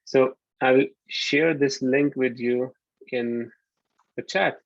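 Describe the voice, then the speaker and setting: A man from Pakistan in his thirties giving a relaxed online university lecture, often pausing with ums. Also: mid-range voice, gravelly, dry recording. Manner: relaxed, hesitant with frequent ums. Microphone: computer mic on a video call. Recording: dull lo-fi video call audio.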